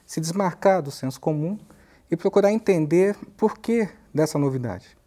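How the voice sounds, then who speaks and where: Brazilian guy in his 50s, prof being interviewed, mellow voice, explains stuff carefully, pauses hunting for words. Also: fairly high pitch, lively intonation. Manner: measured, halting, searching for words. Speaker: university professor, interview guest. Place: TV studio. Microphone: lapel mic.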